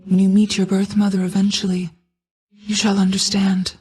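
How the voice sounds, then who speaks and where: An actress playing a stern yet caring motherly goddess of night in a video game, speaking in formal, measured, solemn lines. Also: mid-range voice, completely flat delivery, a little mellow, breathy edge. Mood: solemn, firm. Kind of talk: scripted video game character dialogue, formal archaic speech.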